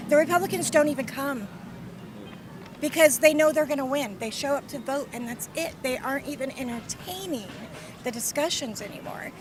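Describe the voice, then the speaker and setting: Emphatic high-voiced woman on the street. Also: normal speed. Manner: emphatic, assertive. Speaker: interviewee on the street. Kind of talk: impromptu political argument. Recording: noisy outdoor street with traffic.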